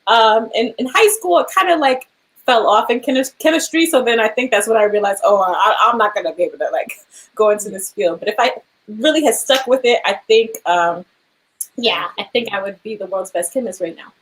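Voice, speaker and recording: A woman's voice: on the high side, fairly quick, rough recording. A young woman, an actor, chatting casually in an interview, laughing often and filling her speech with "like" and "um".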